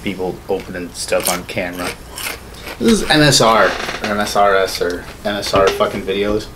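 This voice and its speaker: A man's voice: sits low, normal speed, noisy background. A man in his thirties chatting casually as a podcast co-host, irreverent and swearing heavily. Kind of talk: casual chat. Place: small home studio room, indoors.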